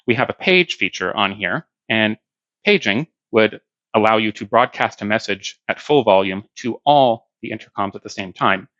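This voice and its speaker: A man with a fairly low voice and a crisp, forceful edge gives an instructional product demo. He explains each step plainly and practically. The sound is very dry and a little band-limited. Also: lively intonation, moderate pace, dry recording, lo-fi sound. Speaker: product demonstrator. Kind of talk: hands-on instructional demonstration.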